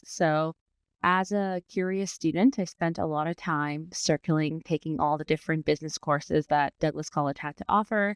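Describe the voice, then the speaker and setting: Woman from iran, podcast guest, lowish smooth voice, really dry close sound, chatty answers. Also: no room sound. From born in Iran, raised partly in Dubai. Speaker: interview guest, learning and development manager. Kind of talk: conversational interview answers.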